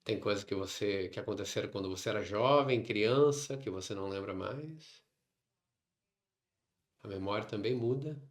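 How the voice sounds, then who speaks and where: A man with a Brazilian Portuguese accent leading a guided meditation, instructing in short phrases separated by long pauses. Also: fairly low pitch. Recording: roomy, echoing sound.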